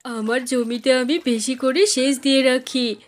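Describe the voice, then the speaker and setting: A woman's voice: sits high, steady tone, unhurried, a little hiss or hum, pitched below the performer's own voice. A woman voicing a scheming fox sister-in-law in a cartoon, with an emotional, melodramatic delivery. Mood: gloating. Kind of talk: cartoon character acting.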